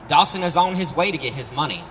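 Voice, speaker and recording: A man's voice: a little high, expressive, fast, a bit bright. A man reading a story aloud as a stubborn, self-important debt collector who makes blunt threats and talks about himself in third person. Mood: demanding, threatening. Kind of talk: acted dialogue in a short-story reading. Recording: distant, very noisy, reverberant street-side live recording.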